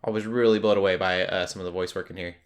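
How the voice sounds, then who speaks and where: A man's voice: low voice, steady tone, fast talker. A young adult man hosting a casual conversation about movies. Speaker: podcast host.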